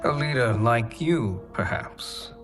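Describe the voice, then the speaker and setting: An actor playing a cunning sorcerer in a video game, measured, formal and insinuating, with music behind him. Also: male voice, mid-range voice, lively intonation. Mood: sly. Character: sly male sorcerer, cunning flattering schemer. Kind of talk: dramatic video game acting.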